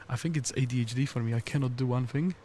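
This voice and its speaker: A man co-hosting a tabletop game stream, chatty and laughing, speaking quietly in a large empty hall. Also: medium pitch, fast talker. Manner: chatty, restarts often, laughs between points. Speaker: stream co-host. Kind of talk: casual commentary chat.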